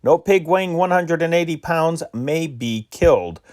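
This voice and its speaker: A man's voice: on the high side, expressive, normal speed, darkish tone. A man reading old newspaper news dispatches aloud in an amateur style, with occasional slips and restarts. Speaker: reader of historic news dispatches.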